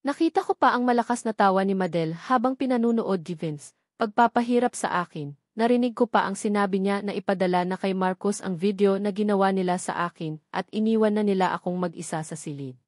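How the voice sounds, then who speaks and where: A woman's voice: mid-range voice, a little fast, darkish tone, dry recording, studio quality. A woman reading a scripted novel aloud as a first-person story narrator. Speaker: audiobook narrator.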